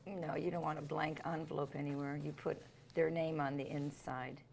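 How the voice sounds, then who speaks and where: A woman's voice: low voice, flat delivery, moderate pace, gravelly, darkish tone, slight background noise. A woman who is an etiquette expert, a guest on a talk show in a studio, giving emphatic, opinionated advice. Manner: emphatic, opinionated, laughs easily. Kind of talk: casual studio chat.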